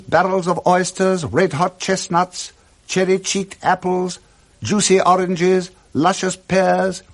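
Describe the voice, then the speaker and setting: Male British narrator, 1960s radio drama style: higher-set voice, expressive, savouring literary storytelling. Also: husky.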